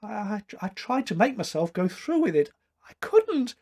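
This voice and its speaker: A tearful young woman from a storybook, voiced by a man, timid and pleading. The delivery halts and trembles, pitched up a little, with a husky edge. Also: sing-song, normal speed, darkish tone, exaggerated voice. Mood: quavering, distraught.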